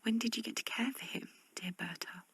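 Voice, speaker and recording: Actress as a timid, husky young servant sister. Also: female voice, on the high side, a little fast, fairly bright tone, no echo, clean studio sound, heavily acted. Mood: wistful. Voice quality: creaky, husky, often breathy and whispery. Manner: hesitant, wistful, reproving. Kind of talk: period audio drama acting.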